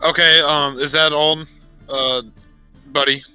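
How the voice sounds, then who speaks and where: Male tabletop player, crisp and very forward bright voice, casual conversational delivery. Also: a little high, little pitch movement, slow, soft background music, rough recording. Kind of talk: casual in-game discussion.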